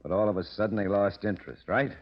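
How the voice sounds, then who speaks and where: An actor playing a sly, needling press agent who goads a gangster, in 1940s radio drama style. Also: male voice, fairly low pitch, fast talker, natural voice. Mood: sly, goading. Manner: insinuating, needling, smooth-talking schemer. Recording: dull vintage radio broadcast.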